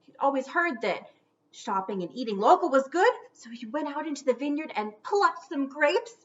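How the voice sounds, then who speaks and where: A woman reading a children's storybook aloud theatrically, stretching out words and dropping into hushed whispers for suspense. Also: high voice, lively intonation, moderate pace, highs cut off.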